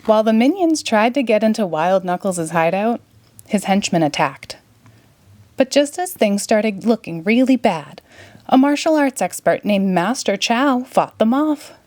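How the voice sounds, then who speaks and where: A woman narrating a children's story in a clear, expressive read-aloud manner. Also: mid-range voice, unhurried, dry recording. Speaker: storybook reader.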